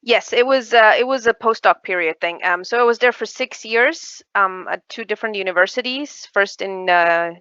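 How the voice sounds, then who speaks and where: A woman's voice: medium pitch, normal speed, few pauses, fairly bright tone, dry recording. A woman from Switzerland, answering conversationally as an interview guest. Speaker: guest, pharma research project manager. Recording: slightly dull, dry online call.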